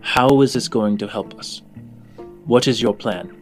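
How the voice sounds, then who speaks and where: A man's voice: medium pitch, a little slow, soft background music, natural voice. A man playing a fussy, exacting male hacker in a tabletop game, with a theatrical, condescending manner. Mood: stern, probing. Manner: theatrical, condescending, addresses listener as dear.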